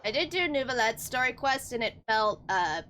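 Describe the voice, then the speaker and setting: A woman's voice: on the high side, animated, normal speed, bright, forceful tone, a little hiss or hum. A young woman chatting casually as she hosts a video game livestream. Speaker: game streamer. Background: game music.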